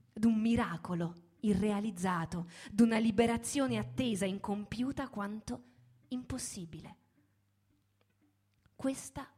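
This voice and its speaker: A woman giving a measured, solemn dramatic reading of literary prose. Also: on the high side, dark tone, slightly rough. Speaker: reader in a radio reading performance. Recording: music behind the voice. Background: instrumental music.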